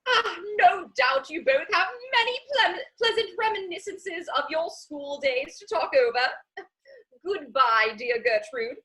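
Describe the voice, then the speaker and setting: A woman's voice: very high voice, lively intonation, a little slow, bright, forceful tone, full character voice, poor audio. A woman playing a chatty, scatterbrained Victorian society matron in a gushing, rambling, theatrical comedy reading. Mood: gossipy, airy. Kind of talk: stage comedy dramatic reading.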